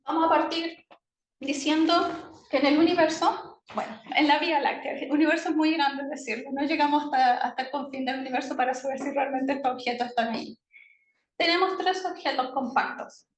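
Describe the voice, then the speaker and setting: A woman's voice: high-pitched, brisk. A woman in her thirties with a Chilean accent, giving an informal academic talk to students in a conversational way, often checking in with her listeners. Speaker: university astrophysics lecturer. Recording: echoey room with faint background noise.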